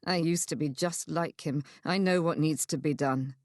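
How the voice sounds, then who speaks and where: A gentle Regency gentleman, played by a woman narrator in a romance audiobook. The pitch is dropped and the delivery is soft and measured, reassuring yet resolute. The sound is dry. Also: no room sound, natural voice. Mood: grave, measured.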